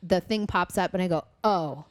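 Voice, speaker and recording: A woman speaks as a podcast guest, reflective and earnest, pausing on soft ums. Her voice sits a little low. The recording is close and dry, with no room sound. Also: no echo. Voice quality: loud voice. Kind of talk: casual interview conversation.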